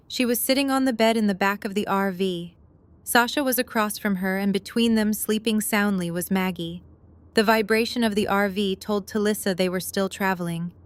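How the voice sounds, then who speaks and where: A woman's voice: mid-range voice, fairly even intonation, normal speed. A woman reading scripted third-person narration for an audio drama.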